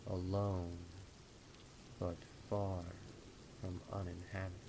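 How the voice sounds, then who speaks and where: A man reading a horror story aloud as a narrator, in a grave, deliberate, suspenseful manner. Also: low voice. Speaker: fan fiction narrator. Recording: rain noise behind the voice.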